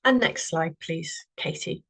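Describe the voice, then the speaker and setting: A woman's voice: low-pitched, expressive, takes it slowly, gravelly, a bit bright, breathy edge. A woman with a Welsh accent giving a friendly, earnest presentation on a video call, with many fillers and restarts. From Wales. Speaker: webinar speaker. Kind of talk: presentation to online audience.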